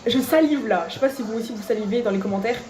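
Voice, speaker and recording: A woman's voice: fairly high pitch, racing, crowd noise. A young woman YouTuber talking playfully to her viewers in vlog clips. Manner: bubbly, playful, addresses viewers. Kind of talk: upbeat vlog and skit talk. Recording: music under the voice.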